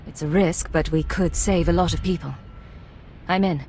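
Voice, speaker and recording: A woman as a composed, lowish-voiced secret agent. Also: fairly even intonation, normal speed, a little mellow, slight background noise, lightly acted. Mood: cool, resolved. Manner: cool, concise, controlled. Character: composed, principled female undercover intelligence agent. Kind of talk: video game voice acting.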